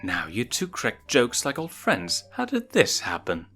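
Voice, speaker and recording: A man voicing a motherly, curious adult lioness who questions and gently teases, in a read-aloud story. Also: mid-range voice, wildly swooping pitch, unhurried, faint music behind, pitched above the performer's own voice, full character voice.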